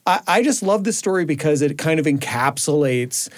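Higher-voiced man in earnest radio chat. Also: expressive, moderate pace. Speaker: radio show contributor. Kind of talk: conversational studio discussion. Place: radio studio.

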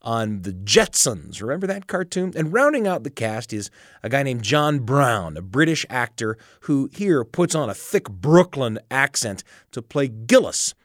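Man hosting radio, very lively pitch swings. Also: a little slow, dry recording, studio quality. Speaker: radio storytelling show host. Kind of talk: conversational radio presenting. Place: radio studio.

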